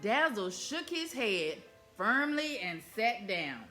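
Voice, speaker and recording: Lively, bright-voiced woman storyteller. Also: on the high side, slow, faint music behind. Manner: expressive, animated. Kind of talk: reading a children's picture book aloud.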